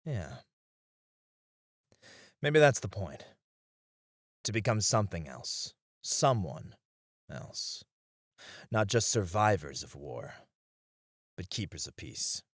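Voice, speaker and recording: A low-voiced, battle-weary knight acted by a man speaks slowly and intimately, as in a romantic audio roleplay. He sounds tender, wistful and devoted, with hesitant phrasing, in a close, dry recording. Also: big pitch swings, dry recording, full character voice. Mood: earnest, tender. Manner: soft, intimate, hesitant with small chuckles.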